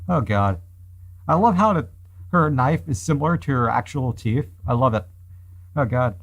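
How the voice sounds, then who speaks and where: A man's voice: mid-range voice, expressive, moderate pace. Man doing amused let's play commentary. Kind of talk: let's play reading of a visual novel with asides.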